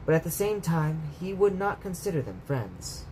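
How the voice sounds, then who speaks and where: A man's voice: a little high, big pitch swings, moderate pace, warm tone, soft background music, poor audio. A man reading a bedtime story aloud as a storyteller, in scripted narration. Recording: clear background noise.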